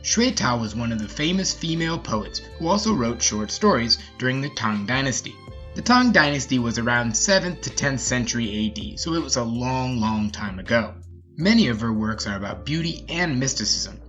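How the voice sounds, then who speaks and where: A man's voice: medium pitch, fairly bright tone, dull audio. A man hosting a story podcast, talking and narrating in a casual, folksy way.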